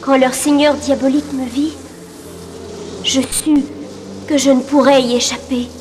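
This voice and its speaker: A woman voicing a gentle, sorrowful young spirit princess in a fairy-tale cartoon, wistful and melodramatic. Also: high voice, steady tone, measured pace, slight background noise.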